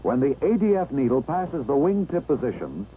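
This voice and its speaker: An American man narrating a 1960s instructional film, explaining step by step in a measured, clear way. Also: medium pitch, very animated, mellow, rough recording. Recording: old 1960s film soundtrack, engine-like background noise.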